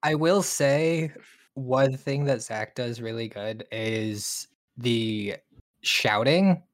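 Slow-talking, laid-back male co-host. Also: medium pitch. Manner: slow, filler-heavy, laughing. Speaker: podcast co-host. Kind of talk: casual podcast chat.

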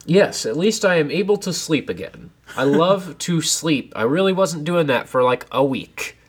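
A young man co-hosting a casual podcast from a home basement, a bit congested, animated and self-deprecating. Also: on the high side, normal speed, breathy edge. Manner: animated, self-deprecating, sips tea while talking. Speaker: podcast co-host. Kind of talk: casual chat.

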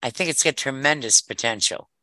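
A woman's voice: very deep voice, brisk, pushed and bright, no echo. An older woman chatting casually, hesitant, circling back and repeating her phrases as she goes. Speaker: discussion participant. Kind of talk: casual conversation.

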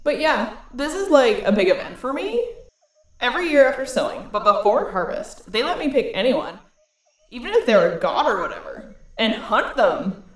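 A man's voice: very high voice, sing-song, normal speed, reverberant, voice pushed up, poor audio. A man voicing a huge female cat queen who talks in casual valley-girl slang, chatty and offhand. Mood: breezy.

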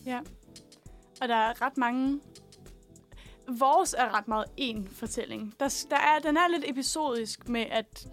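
En ung kvinde, der er medvært i et radiostudie og snakker løst og griner meget. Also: female voice, sits high, soft background music. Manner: quick, laughing banter. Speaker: radio host. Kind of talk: casual studio chat. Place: student radio studio.

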